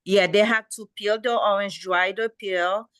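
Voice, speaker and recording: A middle-aged woman with a Haitian accent speaks in a bright, forward voice with a dry, close sound. She explains in a plain, anecdotal way as a doctor on a panel, repeating key points. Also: medium pitch, steady tone, a little slow, dry recording. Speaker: podiatrist, panel guest. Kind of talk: explaining remedies on a medical panel. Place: online webinar call.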